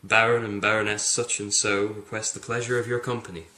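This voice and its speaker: Lowish male voice in an echoey room. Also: flat delivery, a little fast, background noise. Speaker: book reviewer. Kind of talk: dramatic book reading. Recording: roomy, echoing indoor sound.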